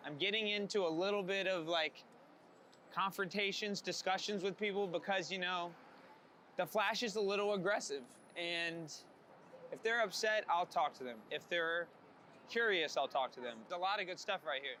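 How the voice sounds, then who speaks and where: A man with a somewhat high voice talks casually and candidly as an interviewed street photographer. Faint outdoor ambient noise sits behind him. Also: moderate pace, sharp tone.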